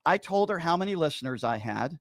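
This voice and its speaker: A man with a somewhat high-pitched voice argues in a heated debate. He is combative and interrupts, and the sound is very dry, with no room to it. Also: very animated, a little fast, no room sound.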